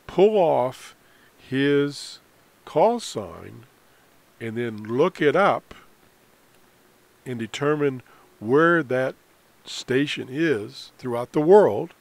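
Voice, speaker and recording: Slow-talking man giving a tutorial. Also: mid-range voice, darkish tone. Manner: halting, explanatory, pausing mid-sentence. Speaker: amateur radio hobbyist presenting a tutorial. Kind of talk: unscripted software screencast tutorial.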